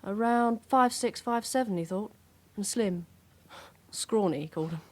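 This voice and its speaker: A woman's voice: medium pitch, normal speed, a little mellow, close to an everyday voice. Composed, matter-of-fact British policewoman played by an actress. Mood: factual, composed. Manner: matter-of-fact, clipped phrases. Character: composed, dutiful policewoman reporting to her sergeant. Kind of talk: radio drama acting.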